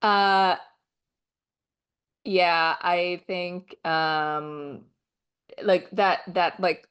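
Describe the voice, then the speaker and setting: A woman co-hosting a podcast in loose, candid chat. Her voice sits low for a woman, and she talks bluntly, swears offhandedly and scatters "like" through her sentences. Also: fairly even intonation, very slow, fairly bright tone, clean tone. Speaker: podcast co-host.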